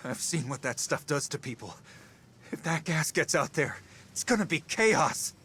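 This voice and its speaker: A man's voice: fairly high pitch, expressive, moderate pace, bright, forceful tone, a little hiss or hum. A man playing a frightened, despairing plant worker in a video game, anxious and fatalistic. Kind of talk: video game cutscene acting.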